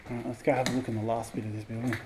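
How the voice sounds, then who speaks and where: A man's voice: mid-range voice, flat delivery, rapid-fire. A man giving casual running commentary as he explores an abandoned building, remarking on everything he finds. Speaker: urban explorer vlogger. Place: abandoned indoor campus building. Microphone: head-mounted action camera. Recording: noisy handheld camera audio.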